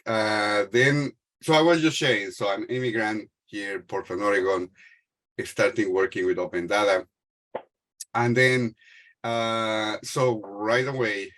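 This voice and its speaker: Low-voiced Mexican-accented middle-aged man. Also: a bit bright. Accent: Mexican Spanish. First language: Spanish. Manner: hesitant, many fillers and restarts. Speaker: panel speaker. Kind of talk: panel talk on a video call. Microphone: video call.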